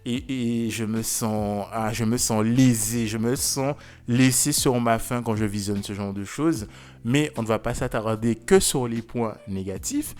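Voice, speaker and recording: A man's voice: mid-range voice, a little slow, a little mellow. A man hosting a review video, speaking in scripted commentary with conversational asides and theatrical, emphatic phrasing. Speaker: video reviewer and channel host.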